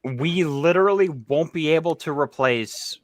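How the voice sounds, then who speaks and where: A man's voice: on the high side, unhurried, dry recording, clean studio sound. A man chatting as a panelist on a livestream, often restarting and repeating his phrases. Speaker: panelist on a toy review livestream. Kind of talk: casual group discussion. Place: online livestream call.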